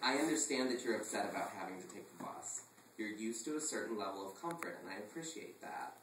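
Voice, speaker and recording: A man voicing a stern middle-aged father who holds his temper in with hushed, measured words and then bellows, recorded from a distance in an echoey room with faint ambience. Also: lowish voice, expressive, background noise, lightly acted. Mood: grave.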